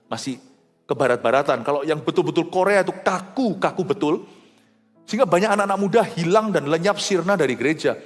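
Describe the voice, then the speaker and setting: A man preaching fervently, his voice sitting a little high and swinging from loud exclamations down to hushed asides. It is a live sermon in a large venue, so the voice carries a long reverberant tail. Soft music plays faintly underneath. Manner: mixes English words into his talk. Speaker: pastor. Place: large rooftop church venue.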